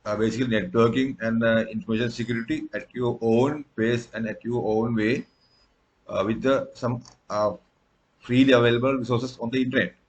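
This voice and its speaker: A man's voice: medium pitch, steady tone, brisk, low-quality recording. A man from Sri Lanka, a native Sinhala speaker, giving a recorded tutorial lecture with frequent fillers and restarts. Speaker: instructor.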